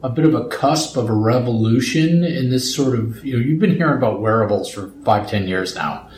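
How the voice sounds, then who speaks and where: A man's voice: medium pitch, brisk, a little mellow, slight background noise. Chatty male podcast host. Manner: conversational, frequent "yeah" and "right" backchannels. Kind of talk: casual interview and solo segment.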